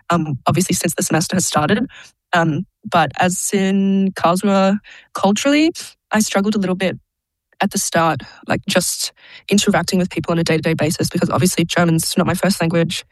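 A woman's voice: fairly low pitch, a little fast, dry recording, low-quality recording. A young Australian woman, an international student, chatting in an interview, laughing often and filling her talk with "like" and "um". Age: young adult. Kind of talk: casual interview.

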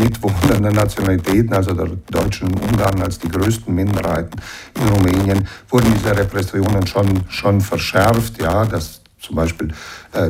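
A middle-aged man from western Romania's Banat region speaks in a fairly low voice, working through his answers patiently and explaining as he goes. Ums and ers thread through his sentences. A steady hiss of noise sits under the recording. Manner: measured, explanatory, with frequent fillers. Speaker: interview guest. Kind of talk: reflective interview answers.

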